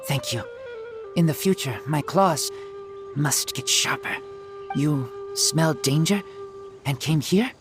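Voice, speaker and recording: An actor playing an earnest young wolf boy in a video game, speaking in halting, broken, simple sentences. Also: fairly high pitch, sing-song, music in the background. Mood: grateful, earnest.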